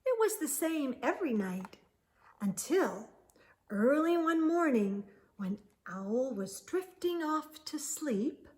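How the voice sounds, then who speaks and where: A woman hosting children's storytime, reading a picture book aloud expressively with deliberate, drawn-out phrasing. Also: high voice, very animated, a little mellow, slight background noise. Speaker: children's storytime host and reader.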